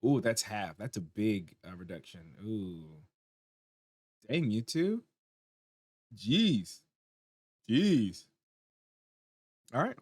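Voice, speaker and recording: A tired man with a hoarse voice, casually talking through game patch notes at his computer and reacting as he reads. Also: lowish voice, animated, very slow, long pauses, no echo. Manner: chatty, reacts aloud while reading on screen. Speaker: gaming channel host.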